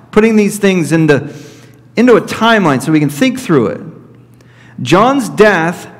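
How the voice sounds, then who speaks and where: A man in his thirties preaches from a church pulpit, taking each point slowly and going back over it. His voice sits a touch high for a man, and the sound rings with the long reverb of a church sanctuary. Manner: slow, deliberate teaching with repeated points. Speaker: pastor. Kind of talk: expository sermon. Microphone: fixed camera at middle distance.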